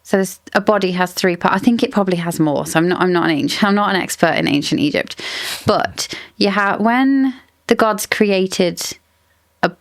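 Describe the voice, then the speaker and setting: A woman's voice: mid-range voice, moderate pace, no room sound, studio quality. Rambling woman musing about a book. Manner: thinks aloud, rambling, lots of "like" and hedging. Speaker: book podcast host. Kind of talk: casual book discussion.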